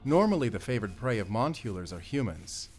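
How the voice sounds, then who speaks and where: A stern, secretive wolf hunter in a gothic audio drama. He has a low voice and a German accent, and speaks in terse, commanding phrases. Prominent music plays behind him. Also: big pitch swings, fairly quick, darkish tone. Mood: grave, hushed, wary. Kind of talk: cinematic audio drama acting.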